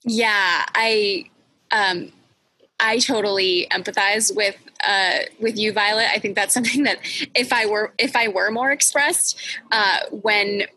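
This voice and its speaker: A woman's voice: mid-range voice, steady tone, unhurried, sharp tone, low-quality recording. A woman hosting a casual, reflective chat, thoughtful and searching for words. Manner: thoughtful, many fillers and restarts.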